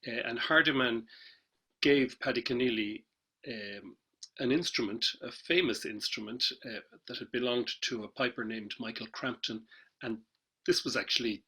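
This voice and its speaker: A man's voice: medium pitch, moderate pace, sharp tone, slightly rough, rough recording. A man speaking casually as a panellist on a webinar, hesitant, with many fillers and restarts. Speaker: panellist, traditional musician. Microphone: video call.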